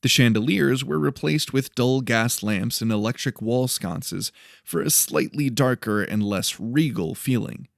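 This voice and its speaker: A man's voice: mid-range voice, measured pace, creaky, no echo, studio quality. A man reading scripted story narration as the narrator of an audiobook.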